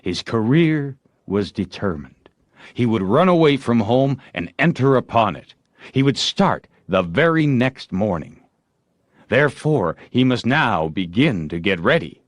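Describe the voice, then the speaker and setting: A man narrating a classic story as an audiobook, with expressive, theatrical storytelling. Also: mid-range voice, a little slow, no room sound, studio quality. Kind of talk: dramatic literary audiobook narration.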